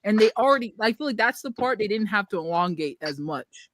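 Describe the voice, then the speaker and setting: A woman's voice: medium pitch, expressive, brisk, noisy background. A woman speaking informally as a panelist in a casual podcast discussion, giving her opinions. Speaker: podcast panelist reviewing a film.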